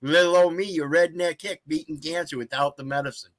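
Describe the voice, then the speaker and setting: A man's voice: on the high side, fairly even intonation, brisk, dry recording. An older man on a live stream, arguing in a blunt, accusatory way. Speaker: caller in a debate.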